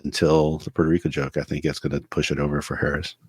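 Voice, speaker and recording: Very deep-voiced man co-hosting a political chat. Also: little pitch movement, fast, a little mellow, husky, no echo. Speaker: podcast co-host.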